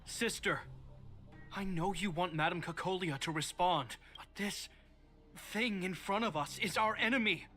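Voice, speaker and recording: A bright, fairly high male voice belonging to a steady young guard captain. He issues calm, measured warnings with careful authority. Faint music plays underneath. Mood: urgent. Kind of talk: video game voice acting.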